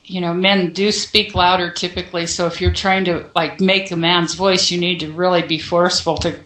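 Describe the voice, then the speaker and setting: A woman's voice: lowish voice, little pitch movement, normal speed, fairly bright tone. A woman calling in to a show, chatting casually as she puts her questions to the host. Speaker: caller with questions. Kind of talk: casual call-in conversation. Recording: echoey call-in sound.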